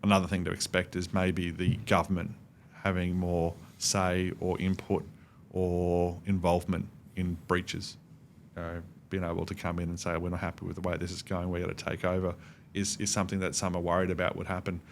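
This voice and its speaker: A middle-aged Australian man giving measured, explanatory answers as an expert guest in a conversational interview. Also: low-pitched, completely flat delivery, gravelly, a little hiss or hum. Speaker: podcast guest, cybersecurity expert.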